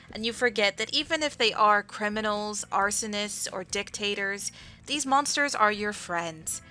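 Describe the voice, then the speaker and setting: A woman's voice: fairly high pitch, sharp tone. A woman reading scripted narration for a visual novel style video game dub.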